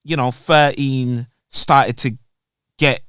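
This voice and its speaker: A man's voice: mid-range voice, fairly even intonation, slow pace, fairly bright tone, clean tone, dry recording, clean studio sound. A man with a London accent, originally from Jamaica, telling his story as a podcast guest in a laid-back, rambling way with street slang. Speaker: guest musician. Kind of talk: casual podcast interview. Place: podcast studio.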